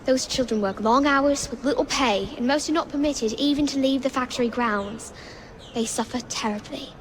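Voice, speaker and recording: A woman playing a shrewd, self-possessed young English girl who leads urchins in a video game, crisp and businesslike. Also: high-pitched, moderate pace, a little hiss or hum, natural voice. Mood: poised, earnest. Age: child character. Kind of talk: video game cutscene acting.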